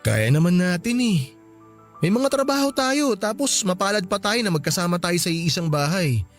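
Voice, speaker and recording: High-voiced, conflicted Filipino husband. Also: male voice, animated, normal speed, faint music behind. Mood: pleading. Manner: hesitant, pleading, defensive. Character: conflicted young husband, apologetic, loyal to his brother. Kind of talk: radio drama acting.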